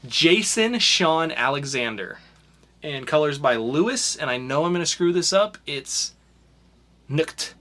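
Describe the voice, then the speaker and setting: A man's voice: a little high, lively intonation, measured pace, sharp tone. A young man co-hosting a podcast and chatting casually about comics, rambling with lots of fillers and restarts. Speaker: podcast co-host reviewing comics. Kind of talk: casual chat.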